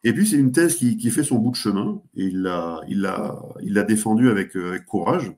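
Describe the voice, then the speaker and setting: Guy on a talk show analyzing world politics, kinda low voice, lots of uh and restarts. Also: animated, fairly quick, darkish tone. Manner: hesitant, many fillers and restarts. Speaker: guest commentator.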